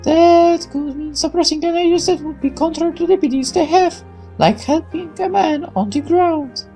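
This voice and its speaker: A woman's voice: extremely high pitch, normal speed, warm tone, faint music behind, voice pushed up. A woman voicing a young, cautious female factory worker, earnest and protective, in a visual novel game. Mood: urgent warning.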